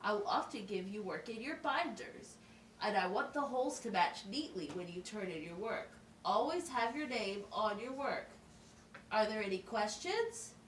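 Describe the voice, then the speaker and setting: A woman's voice: medium pitch, lively intonation, normal speed, close to an everyday voice. A woman playing a patient, instructive schoolteacher in an amateur puppet show, explaining things simply and asking checking questions. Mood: firm, instructive. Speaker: teacher. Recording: roomy, distant mic with faint noise.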